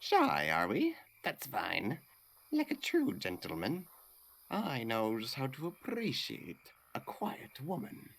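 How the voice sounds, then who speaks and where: A man voicing a small, petty game villain with mock-polite, affected manners and a creaky voice. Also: mid-range voice, wildly swooping pitch, takes it slowly, full character voice. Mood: mock-courteous. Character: small theatrical male villain, mock-courteous, petty and sneering. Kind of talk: comedic video game voice acting.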